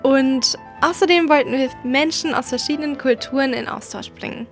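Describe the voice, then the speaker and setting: A woman hosting a podcast, reading a scripted introduction clearly and evenly. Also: sits high, moderate pace, soft background music. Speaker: podcast host.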